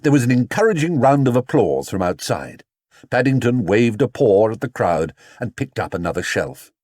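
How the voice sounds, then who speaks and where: A man narrating a children's storybook in an expressive, theatrical way. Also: mid-range voice, normal speed, dry recording, clean studio sound. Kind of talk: animated children's audiobook narration.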